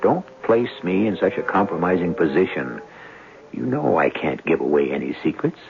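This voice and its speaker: A man hosting and narrating a scripted radio drama in a wry, measured, theatrical manner. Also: low-pitched, expressive, gravelly. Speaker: host and narrator. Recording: tinny radio broadcast with music. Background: music.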